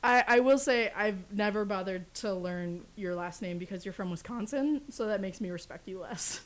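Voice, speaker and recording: A woman's voice: mid-range voice, normal speed. A young woman from Portland hosting a college radio talk show, teasing her co-host and guest with a playful, laughing manner. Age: young adult. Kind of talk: casual banter and interview.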